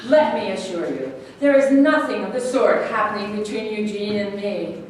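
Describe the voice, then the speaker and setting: A woman's voice: mid-range voice, close to an everyday voice. Woman acting a stern doctor, echoey and distant. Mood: formal. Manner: firm, official, measured wording. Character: stern, formal female doctor supervising a prison meeting. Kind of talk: staged play reading. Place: echoey room at a staged reading, far mic. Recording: very echoey, distant, faint room noise.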